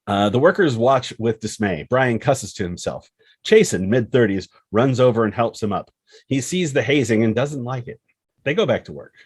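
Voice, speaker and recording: A man's voice: mid-range voice, lively intonation, normal speed, a little mellow, breathy edge, no echo. A man reading scripted screenplay action and scene headings as the narrator.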